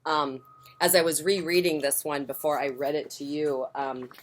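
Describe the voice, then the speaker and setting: A woman's voice: low-pitched, steady tone, moderate pace. A woman reading picture books aloud to children, playful and informal, with casual asides. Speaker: children's storyteller.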